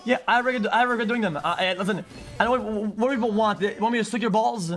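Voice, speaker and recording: A man's voice: high-pitched, quick pace, a bit bright. A man livestreaming an unscripted, agitated rant to his chat, swearing often and stumbling over repeated words.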